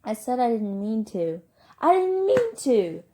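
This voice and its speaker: A woman's voice: mid-range voice, little pitch movement, normal speed, mellow, natural voice. A woman plays a sensitive teenage boy in a storybook. He is the younger brother, emotional and defensive, pleading in a voice lifted a little above plain narration. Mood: upset, defensive.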